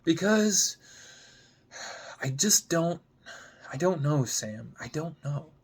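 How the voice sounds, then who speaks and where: A man's voice: a little high, expressive, slow, slightly rough, voice pushed up, exaggerated voice. A man plays a young woman in a relationship drama, hurt and weary of her lover. She speaks in a curt, guarded way, keeping her distance. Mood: upset, guarded. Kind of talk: dramatic screenplay reading.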